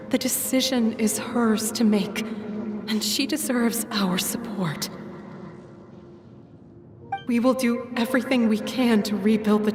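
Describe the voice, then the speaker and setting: An actress playing a gentle, loving mother who speaks softly and reassuringly in a video game. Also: a little high. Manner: soft, soothing, reassuring. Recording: distant echoey voice with loud music.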